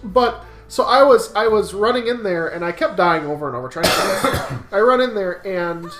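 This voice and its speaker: A man co-hosting a game show, telling stories in casual, profane chat with an animated manner. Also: high-pitched, moderate pace. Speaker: co-host of a gaming playthrough show. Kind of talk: casual storytelling chat over a video game. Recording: roomy echo with music behind.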